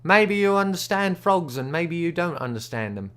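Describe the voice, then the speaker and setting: A man's voice: fairly high pitch, lively intonation, moderate pace, fairly bright tone, voice pushed up. A man voicing a boastful, easygoing frontier gambler who talks with a folksy drawl and backwoods grammar. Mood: easy, bragging.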